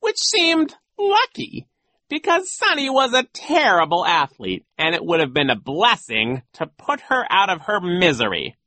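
Woman doing a sly, high-pitched villainous gym coach man. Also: big pitch swings, bright, forceful tone, no echo, full character voice. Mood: gleefully cruel. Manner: drawn-out, theatrical storytelling. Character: sly villainous male gym coach, falsely regretful, smugly cruel.

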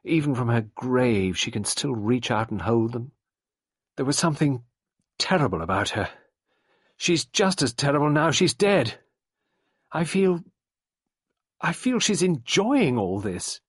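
A man's voice: mid-range voice, expressive, moderate pace, husky, no echo, studio quality, pitched above the performer's own voice. A man voicing a stubborn, argumentative young Englishwoman in a dramatised storybook reading, speaking with impatient emphasis. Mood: low, brooding.

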